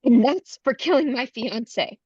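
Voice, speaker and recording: A woman's voice: high-pitched, expressive, normal speed, darkish tone, breathy edge, highs cut off. A woman playing a desperate, vengeful young beauty queen in a horror script table read, speaking with urgency. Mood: vengeful, angry.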